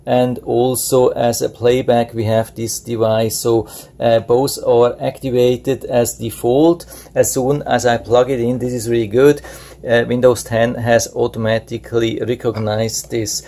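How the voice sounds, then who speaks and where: Male product reviewer, mid-pitched and relaxed, plain unscripted tutorial delivery. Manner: plain explanatory, step by step.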